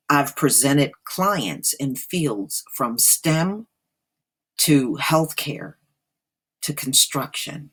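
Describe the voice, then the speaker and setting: A woman commentator with a husky voice, reading aloud and commenting emphatically as she addresses her listeners directly. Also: very deep voice, fairly bright tone. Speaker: online commentator and host.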